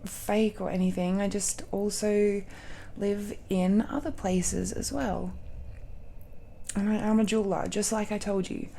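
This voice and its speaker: A woman performs a secretive, affectionate dragon-blooded girlfriend in a romantic audio roleplay. She speaks very slowly in a warm, dark tone, tender and hesitant, with many pauses. There is a light noise behind the voice. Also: mid-range voice, vocal fry. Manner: hesitant, tender, pausing often.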